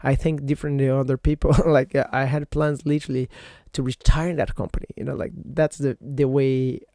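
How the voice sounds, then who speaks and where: A man whose first language is Portuguese talks alone into a computer microphone for his podcast. His voice sits at an ordinary pitch with a dark, mellow tone, and the sound is close and dry. He rambles, corrects himself and stacks up fillers as he goes. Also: level tone, brisk, no echo. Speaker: podcast host. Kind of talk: casual solo podcast monologue.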